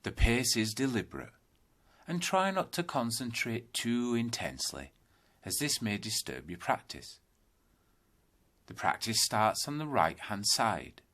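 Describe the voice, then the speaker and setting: A man guiding a yoga nidra meditation in calm, deliberate, instructional phrases with space between them. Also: medium pitch. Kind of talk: guided meditation narration.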